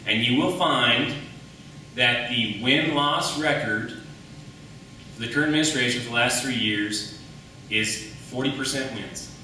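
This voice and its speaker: Echoey, bright-voiced man debating. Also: mid-range voice, measured pace, pauses often. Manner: emphatic, rhetorical repetition and questions. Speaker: candidate for county attorney. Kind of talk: political debate speech. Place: large echoey room at a public debate. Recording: echoey room, distant mic, faint noise.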